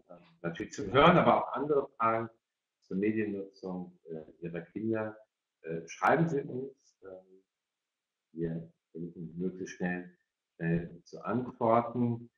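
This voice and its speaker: A middle-aged or older man moderates a video-call discussion. He speaks haltingly, often doubling his words. The sound is roomy and somewhat muffled. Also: lowish voice, a bit bright. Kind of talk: hosting an online parents' evening discussion. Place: indoor room, video call.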